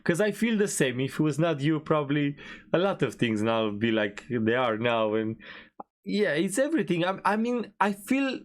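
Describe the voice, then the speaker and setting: A man's voice: fairly high pitch, very animated, moderate pace. A man speaking English as a second language in casual music reaction chat, a co-host who often searches for his words. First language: not English.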